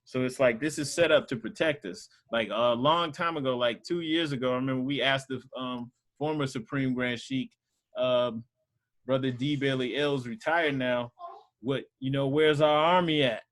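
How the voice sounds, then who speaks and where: A man's voice: mid-range voice, normal speed. A man casually teaching a Sunday school group over a video call, talking loosely with lots of fillers. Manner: loose and conversational, many fillers.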